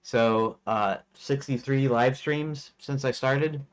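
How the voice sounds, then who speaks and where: A man's voice: medium pitch, slow pace. A man in his forties, a game developer chatting casually on a live stream, rambling with many fillers and restarts. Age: 40s.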